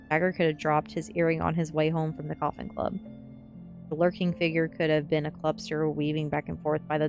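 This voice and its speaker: A woman reading a story aloud in the manner of a storybook narrator, close to the microphone. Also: deep voice. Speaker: streamer reading a novel aloud. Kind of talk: storybook narration, ASMR-style read-aloud. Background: faint background music.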